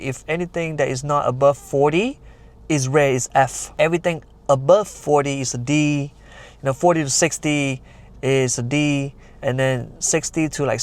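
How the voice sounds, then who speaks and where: Guy in his 20s from malaysia doing a daily vlog, easygoing, kinda broken english grammar, lots of "like". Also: medium pitch. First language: Chinese dialects. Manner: plain conversational, uses lots of "like" and "you know", nonnative grammar. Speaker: vlogger. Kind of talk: casual vlog talk to camera.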